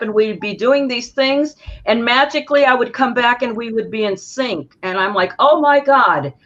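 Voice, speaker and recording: Lively woman telling dance stories. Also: mid-range voice. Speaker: guest, dance instructor. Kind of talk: casual interview talk. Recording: dull lo-fi sound.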